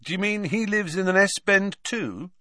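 A man's voice: mid-range voice, big pitch swings, moderate pace, pushed and bright, no room sound, studio quality, pitched above the performer's own voice. A man voicing a grumbling, teasing teenage schoolboy in an audiobook, blunt and sardonic. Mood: amused, goading.

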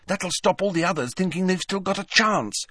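Male narrator as teenage girl, raised pitch, know-it-all, scornful, exasperated, brisk, lively storybook dialogue. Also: breathy edge, no room sound, clean studio sound, full character voice. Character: bookish, bossy, exasperated teenage schoolgirl.

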